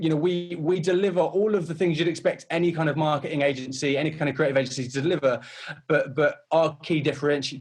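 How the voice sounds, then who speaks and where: Higher-voiced man, hesitant interview guest. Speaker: podcast guest from a comedy marketing agency. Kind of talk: conversational interview.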